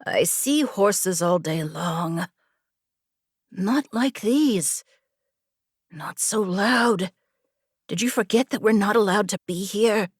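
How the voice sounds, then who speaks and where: A woman voicing a nervous, cautious young friend in a fantasy audiobook, anxious and hushed. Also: mid-range voice, takes it slowly, long pauses, breathy edge, no echo, studio quality. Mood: wary grumbling.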